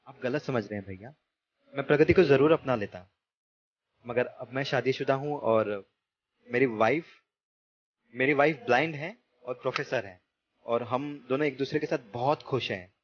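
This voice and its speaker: A man playing Dhairya, a gentle, husky-voiced young man. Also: medium pitch, normal speed, pauses often, natural voice. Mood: apologetic. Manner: earnest, regretful, apologetic. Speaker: husband of a blind professor. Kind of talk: amateur radio play acting. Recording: muffled lo-fi recording.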